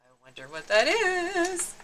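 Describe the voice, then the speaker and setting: A woman storytime host reading a children's picture book aloud with expressive, dramatic emphasis. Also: very high-pitched, a little slow, a little hiss or hum. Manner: animated, emphatic, dramatic delivery.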